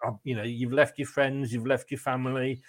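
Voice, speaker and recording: A man's voice: medium pitch, level tone, fairly quick. An English man interviewing a former footballer, asking long, rambling, friendly questions. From England. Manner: chatty, rambling questions with light laughs. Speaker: interviewer, host. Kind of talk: conversational interview.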